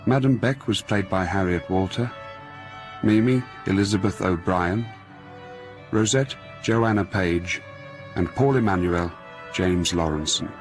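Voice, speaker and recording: A man reading a scripted radio announcement in a formal, measured manner. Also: deep voice. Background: music with violin.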